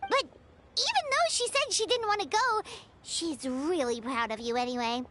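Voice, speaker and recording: A woman voicing a small, chirpy, eager childlike fairy guide in a video game, with a squeaky, excitable delivery. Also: moderate pace, slight background noise, heavily acted. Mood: reassuring. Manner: speaks of herself in third person, excitable. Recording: music playing behind the voice.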